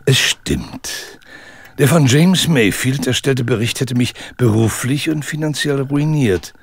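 A man acting a smug, cold-blooded murderer in a German audio drama, gloating and deliberate. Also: medium pitch, vocal fry, breathy edge, no echo. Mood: smug confession.

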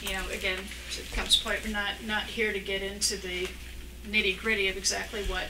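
Measured woman chairing in an echoey room. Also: medium pitch, flat delivery. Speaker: board chair. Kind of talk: chairing a public meeting. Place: municipal meeting room. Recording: echoey, noisy room, distant microphone.

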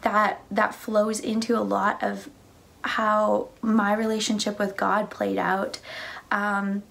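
A young woman with a mid-range voice and a noticeable gravelly edge. She talks easily and chattily, with frequent "like" and "right?". She is recorded on a couch in a living room through a camera mic a little way off. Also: mid-range voice, level tone, takes it slowly, fairly bright tone. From Canada and the United States. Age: young adult. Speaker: church speaker. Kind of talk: casual conversation about faith.

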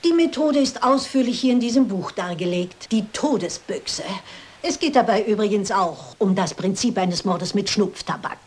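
A woman's voice: mid-range voice, expressive, normal speed, darkish tone, natural voice. A shrewd, composed elderly lady detective, acted by a woman in a crime radio play. She lays out her reasoning precisely and persists with impeccable politeness. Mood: assured, explaining. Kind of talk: German dubbed crime film acting.

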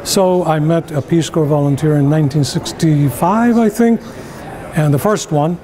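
A man's voice: a little high, moderate pace, mellow. An older man from Iran with an Iranian accent, telling his life story to the camera at a conference. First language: Persian. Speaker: interviewee. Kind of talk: personal recollection to camera. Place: indoor conference venue.

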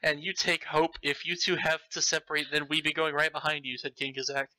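A man's voice: on the high side, little pitch movement, a little fast, bright, forceful tone, no room sound, rough recording. A man reading fan fiction aloud with theatrical, mocking delivery and breaking off to comment. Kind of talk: comedic dramatic read-aloud with commentary.

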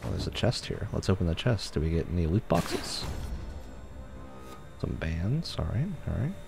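Male game streamer, young, low register, bemused and critical, casual chat, light music behind. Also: sing-song, normal speed, vocal fry. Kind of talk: casual gameplay commentary.

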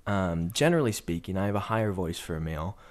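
A man's voice: low-pitched, expressive, quick pace. A young man and sports broadcaster, talking through a video call interview in a thoughtful way with many fillers. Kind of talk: casual interview chat. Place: home room. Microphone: studio condenser mic on video call.

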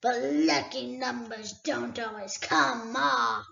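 A woman voicing a huge, cunning male dragon who drawls slowly and sneers. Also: fairly high pitch, big pitch swings, creaky, pushed and bright, echoey room, lo-fi sound, heavily acted. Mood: menacing. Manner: slow, drawn-out, sneering speech.

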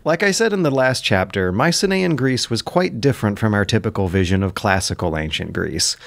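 Low-voiced man, dry studio commentary. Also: lively intonation, fairly quick, creaky, dry recording. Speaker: commentator on his own audiobook adaptation. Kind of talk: scripted explanatory commentary.

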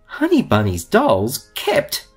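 Male children's book reader, unhurried, slightly husky, theatrical emphasis, highly expressive. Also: soft background music. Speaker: storybook reader. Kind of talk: animated children's storybook reading.

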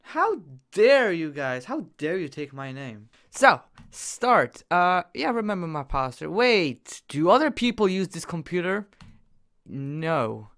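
A young man talks over his gameplay from a desk setup, his voice gravelly and bright. His pitch swings widely, and he jumps between loud excited bursts and quiet mumbles. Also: takes it slowly. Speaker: gaming YouTuber. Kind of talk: casual gameplay commentary.

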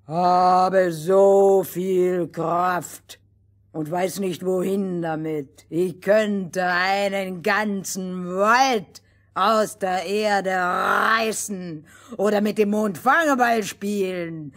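A woman voicing a huge giant man in a children's fairy tale play, booming, boastful and restless with strength. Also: fairly even intonation, very slow.

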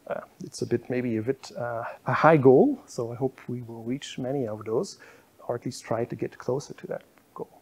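A man's voice: mid-range voice, normal speed. A middle-aged man giving a university public lecture in a lecture room, explaining slides with frequent fillers. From Belgium.

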